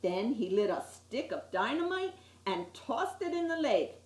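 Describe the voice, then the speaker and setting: A woman reading a children's picture book aloud as an expressive storyteller, with little asides to her listeners. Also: a little high, a little slow. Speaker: story time reader. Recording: echoey room.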